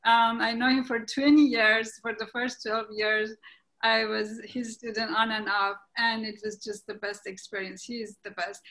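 A woman's voice: a little high, level tone, normal speed, fairly bright tone, poor audio. A woman playwright making casual remarks in a group discussion over a video call. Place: indoors, online video call. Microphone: video call microphone.

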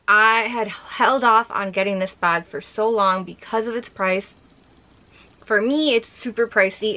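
Bright-voiced chatty young woman, slightly hoarse. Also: mid-range voice, slight background noise. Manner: chatty, gushing, many "like" fillers. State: slightly hoarse, losing her voice. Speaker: vlogger showing her collection. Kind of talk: casual show-and-tell chat.

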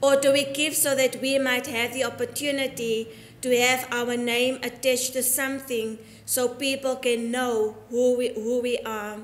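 A middle-aged woman, an Anglican priest delivering a prepared sermon in a measured, deliberate, earnest way. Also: a little high, level tone. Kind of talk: sermon, read from prepared text. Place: inside a church, at the altar. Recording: echoey church acoustic.

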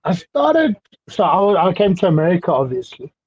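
A South African man in his thirties chats easily as an interview guest, his voice husky and sitting a little high. He speaks over a headset from a small indoor room. The call audio sounds dull and lo-fi. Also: moderate pace. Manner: relaxed, chatty, laughs easily. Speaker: guest fighter. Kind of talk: casual video-call interview. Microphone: headset on video call.

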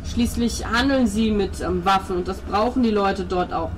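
A woman's voice: medium pitch, moderate pace, background noise, natural voice. A woman voicing a devout, pompous male priest in tabletop roleplay, explaining at length with hesitations and pious flourishes. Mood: patient explaining.

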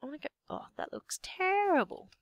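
A young Australian woman with a slightly croaky voice, half-whispering to herself as she commentates her building game. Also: very high voice, very animated, fairly quick, no echo, studio quality. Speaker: let's play gamer. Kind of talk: casual gameplay commentary.

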